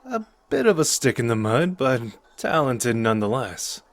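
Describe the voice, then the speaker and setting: Cocky, playful young sorcerer with lively swoops, man acting. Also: medium pitch, moderate pace, no echo. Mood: earnest, persuading. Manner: casual, teasing, intimate and conversational. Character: cocky, playful young male sorcerer, earnest and protective underneath. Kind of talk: ASMR roleplay acting addressed to the listener.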